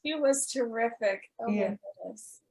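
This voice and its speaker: A woman's voice: fairly high pitch, normal speed, a little mellow. A woman hosting a podcast, chatting casually with her guests like an enthusiastic fan. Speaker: podcast host, interviewer. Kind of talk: casual chat.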